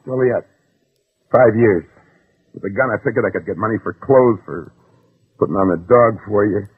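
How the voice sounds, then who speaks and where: A husky, desperate fugitive ex-convict. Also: male voice, fairly low pitch, normal speed, phone-quality sound. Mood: confessing. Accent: American. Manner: slangy, urgent, coaxing. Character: desperate male ex-convict gunman on the run, wheedling old flame. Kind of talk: 1960s radio suspense acting. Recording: thin, band-limited old radio recording.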